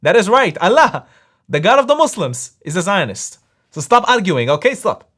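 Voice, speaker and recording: A man's voice: sits high, very animated, moderate pace, sharp tone. Emphatic man hosting a stream. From Israel. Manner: emphatic, insistent, repeats points. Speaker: live stream host. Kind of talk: live stream chat and debate.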